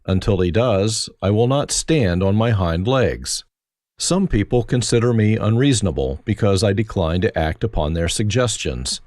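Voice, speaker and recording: A man reads his own life story, his low voice rising and falling with a lot of movement. His humor is dry and self-mocking. The sound is dry and close, with no room around it. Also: lively intonation, moderate pace, darkish tone, dry recording. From Germany. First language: German. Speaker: autobiography narrator. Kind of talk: reflective first-person memoir reading.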